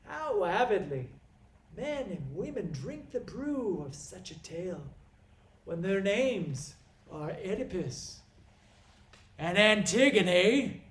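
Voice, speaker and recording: A proud, stern king, acted by a man in a stage tragedy, speaking in a fairly high male voice. He is scornful and theatrical, weighing his phrases slowly with dramatic pauses. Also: sing-song, heavily acted. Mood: bitter, brooding. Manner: theatrical, deliberate, emphatic delivery with dramatic pauses. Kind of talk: classical stage monologue.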